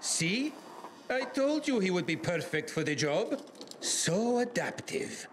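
An eccentric male scientist from a video game, very high in pitch and swinging wildly up and down. He is theatrical, excitable and patronizing, over a noisy background. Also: slow, full character voice. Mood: brisk, smug. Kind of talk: video game dialogue acting.

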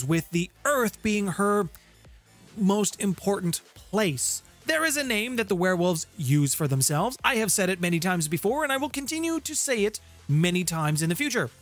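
A man's voice: high-pitched, very animated, moderate pace, a bit bright. A man in his thirties presenting an explainer to camera in an emphatic way, stretching key words for weight, on a close studio microphone in a home room. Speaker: host of a tabletop game lore channel. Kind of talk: explainer to camera, semi-scripted narration. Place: small home music room, indoors. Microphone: close studio condenser microphone with shield. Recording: music playing under the voice. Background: background music.

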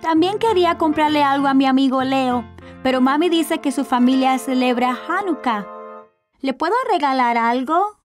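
A woman voices a curious little cartoon boy, extremely high-pitched and full of childlike excitement. The accent is Latin American Spanish, and music runs underneath. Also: moderate pace, close to an everyday voice. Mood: earnest, eager. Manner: wide-eyed, childlike. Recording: music behind the voice.

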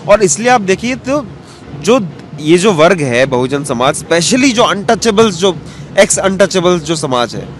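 A man's voice: sits high, sing-song, a little slow. A man, giving an earnest, reflective interview answer mixed with English words. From western Uttar Pradesh, India. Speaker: interview guest, cartoonist. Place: outdoor bench in a public square. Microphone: handheld interview mic held toward him. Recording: outdoor street ambience with background noise.

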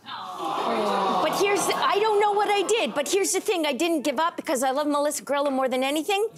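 A woman's voice: sits high, animated, normal speed, very noisy. A Canadian woman presenting a baking segment on a studio talk show, bubbly and chatty, laughing often. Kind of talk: lively studio chat. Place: bright TV studio with sofas.

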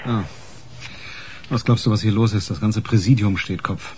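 A man's voice: fairly low pitch, fairly even intonation, moderate pace, darkish tone, close to an everyday voice. Guy playing a grumpy cynical cop boss in a radio crime play, blunt, bit of background noise. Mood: weary, dismissive. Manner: terse, blunt, coarse. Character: weary, gruff male police detective boss, cynical. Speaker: actor in a radio crime drama. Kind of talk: German radio crime drama dialogue.

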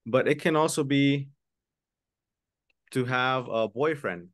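A man teaches English over a video call, speaking slowly and patiently and repeating each word for his class. His voice sits at a middle pitch, and the sound is dry and close to the mic. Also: no echo. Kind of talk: online English lesson, explaining in English and Spanish. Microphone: video call webcam.

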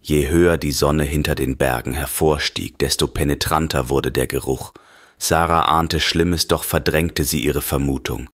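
A man narrating a scripted horror story in a grave, measured manner. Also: extremely low pitch, fairly even intonation, dry recording, clean studio sound. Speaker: audiobook narrator.

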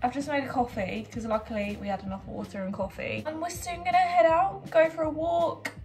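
A woman's voice: high voice, steady tone, brisk, a little mellow, faint music behind. A woman in her twenties chatting informally about her holiday for a vlog. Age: 20s. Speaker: vlogger. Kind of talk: casual holiday vlog chat.

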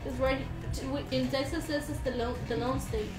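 A young woman chatting casually as a live stream co-host, giggly and a little halting as she reads comments aloud. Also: high-pitched, steady tone. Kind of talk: casual chat. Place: indoor room, live stream. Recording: roomy sound, loud music behind. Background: music.

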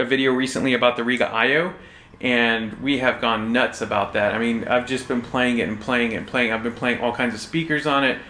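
An American man in his forties from Texas giving a casual, halting but enthusiastic product overview to camera. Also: mid-range voice, sharp tone. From Texas, United States. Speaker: audio shop presenter. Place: small indoor room, standing behind display table. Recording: roomy echo, faint music.

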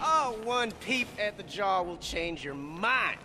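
Swooping, mocking wasteland boss. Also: male voice, high voice, full character voice. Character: wry, wary wasteland stronghold boss, erratic and mocking. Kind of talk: video game character acting.

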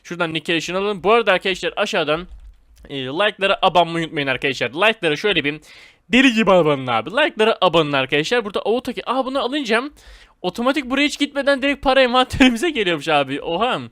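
A man giving casual, excitable gameplay commentary in informal slang, talking straight to his viewers. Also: high voice, rapid-fire, no room sound, clean studio sound. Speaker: gaming video host.

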